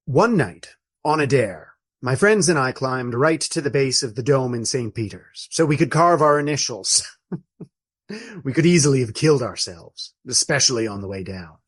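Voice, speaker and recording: Elderly monk for radio drama, male actor: courteous, formal, measured, very dry recording. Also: mid-range voice, expressive, fairly bright tone, no echo. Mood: reminiscing. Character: elderly dignified Trappist friar, once an adventurer. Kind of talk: radio play acting.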